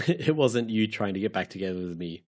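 A man's voice: fairly low pitch, expressive, a little fast, darkish tone. An Australian man co-hosting a podcast, talking casually with a dry, teasing manner. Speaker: podcast co-host.

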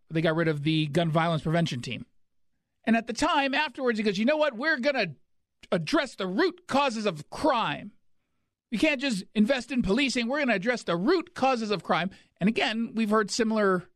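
A man's voice: sits high, no room sound, clean studio sound. A man hosting a talk radio show, delivering an indignant, sarcastic monologue.

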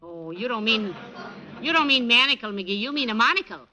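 A woman playing a patient, gently needling folksy housewife in 1940s radio comedy style. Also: a little high, lively intonation, a little fast. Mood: patient correcting. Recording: noisy 1940s radio recording.

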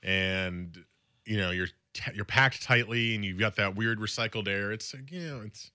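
A man co-hosting a sports radio show, talking college football in quick, casual conversation with a lot of filler words. His voice is slightly raspy from a recent illness. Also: lowish voice, bright, forceful tone, no room sound, clean studio sound. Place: radio studio.

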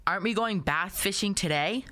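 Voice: mid-range voice, normal speed, natural voice. An actor playing an earnest, curious and stubborn little boy in a radio play, speaking in blunt, literal childlike answers over noisy ambience. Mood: eager. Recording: noisy outdoor ambience, boat sounds behind.

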